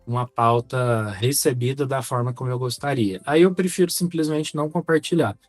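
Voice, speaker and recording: A man with a Brazilian accent talking reflectively as a podcast co-host, measured and articulate, feeling for his words. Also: mid-range voice, no room sound, faint music behind. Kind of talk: reflective conversational podcast talk.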